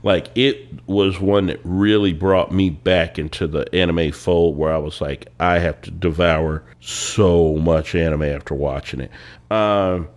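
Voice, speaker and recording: A young man with a congested voice from a cold, chatting casually as a podcast co-host, unhurried and full of "uh" pauses. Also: low voice. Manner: relaxed, drawling, with many "uh" pauses. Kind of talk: casual chat.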